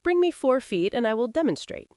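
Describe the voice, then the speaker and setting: A woman's voice: fairly high pitch, big pitch swings, brisk, dark tone, no room sound, studio quality, voice pushed up. A woman voicing a proud, capable ranch woman in a western story, bossy, teasing and matter-of-fact. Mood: brisk instructing. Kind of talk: audiobook-style western dialogue.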